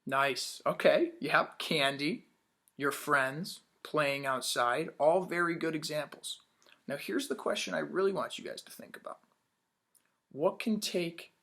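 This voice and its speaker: A man's voice: fairly high pitch, vocal fry, a bit bright. A man teaching young children in a read-aloud lesson, upbeat, asking questions and praising answers.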